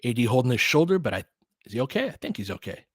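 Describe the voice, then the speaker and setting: Male play-by-play host for basketball. Conversational, thinks out loud, breaks down the action, recorded dry. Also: medium pitch, very animated, racing, husky, dry recording. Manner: conversational, thinking aloud, analytical. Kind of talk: live basketball commentary with casual asides.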